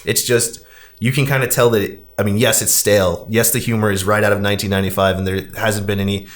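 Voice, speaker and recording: A man's voice: fairly low pitch, little pitch movement, quick pace. A man hosting a casual film discussion, talking in an opinionated, emphatic, conversational way. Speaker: podcast host.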